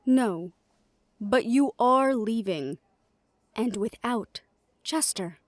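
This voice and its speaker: A woman's voice: on the high side, lively intonation, drawn-out words, dry recording, clean studio sound. A woman playing a blunt, sarcastic and fiercely loyal friend in an audio drama. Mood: firm.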